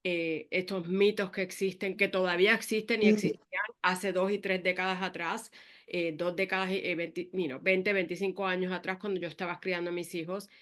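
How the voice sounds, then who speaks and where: A woman's voice: fairly low pitch, flat delivery, brisk, fairly bright tone. A woman in her fifties hosting a podcast, who speaks thoughtfully with many hesitations and slips English fillers into her Spanish. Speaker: podcast host. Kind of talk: casual conversational interview.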